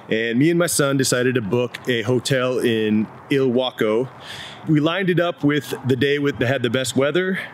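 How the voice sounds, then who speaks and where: A man's voice: medium pitch, slight background noise. A man in his thirties, a photography vlogger, narrating his trip in a casual, enthusiastic, slangy way. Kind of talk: upbeat travel vlog narration. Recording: narration under loud music. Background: loud music.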